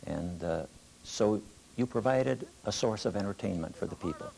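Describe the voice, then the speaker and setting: An older man with a very low, gravelly voice reminisces in an interview. He talks in a halting, folksy manner, and a faint hiss runs under the recording. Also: lively intonation, mellow, rough recording. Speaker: interviewee recalling early local radio.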